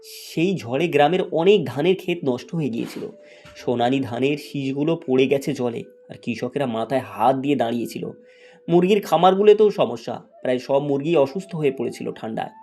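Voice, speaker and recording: A man's voice: mid-range voice, brisk, mellow. A man reading a scripted fairy tale as its storyteller. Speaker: storyteller, narrator.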